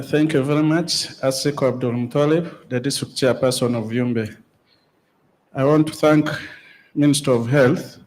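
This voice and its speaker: A Ugandan man, a district chairperson, making a formal, measured comment from the floor of a conference hall. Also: mid-range voice, darkish tone. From Uganda, West Nile. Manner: formal, measured appeal with pauses.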